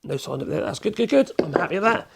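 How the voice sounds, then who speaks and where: A man with a cold, hoarse, chatting in a rambling way as he demonstrates in his workshop. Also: sits high, sing-song, very fast, heavy background noise. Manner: rambling, chatty, self-correcting, chuckles. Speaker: woodworking channel host.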